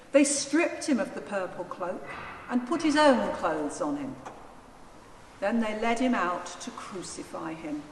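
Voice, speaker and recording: Slow, expressive woman reading scripture. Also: medium pitch. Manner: measured, deliberate. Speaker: scripture reader, narrator. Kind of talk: solemn dramatised Gospel narration. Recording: echoey church hall, faint noise.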